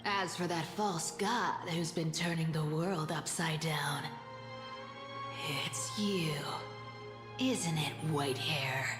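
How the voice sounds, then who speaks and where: A woman's voice: low voice, slow. A woman voicing a playful, cunning catgirl trickster in a video game, sly and mocking in a theatrical way. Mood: accusing. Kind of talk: dramatic video game voice acting. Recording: echoey hall reverb with music.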